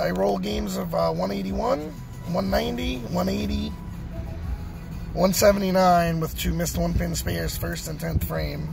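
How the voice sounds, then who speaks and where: A man's voice: high voice, creaky. A middle-aged man giving a casual recap to camera, repeating his phrases for emphasis, recorded on a phone inside a car. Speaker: bowler and vlogger. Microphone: phone at arm's length.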